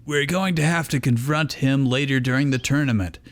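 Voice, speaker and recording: A man voicing a calm, practical young man who placates and reasons with his group in a story reading. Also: mid-range voice, normal speed, pitched above the performer's own voice, natural voice. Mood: calm, placating.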